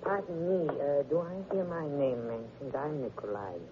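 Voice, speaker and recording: A man's voice: fairly high pitch, normal speed, natural voice. Man playing sly, over-polite blackmailer, old radio. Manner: overly polite, sly, mannered. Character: suave, menacing little foreign male blackmailer. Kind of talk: 1940s radio drama acting. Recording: noisy, muffled old radio recording.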